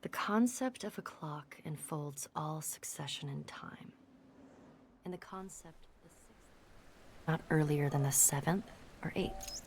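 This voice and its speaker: A woman reading quotations for game audio logs in a deliberate, contemplative manner. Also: deep voice, in a whisper.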